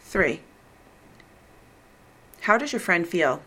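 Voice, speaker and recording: A woman reading the script of a recorded listening exam in a slow, deliberate, evenly paced way. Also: lowish voice, sing-song, pushed and bright. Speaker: exam reader.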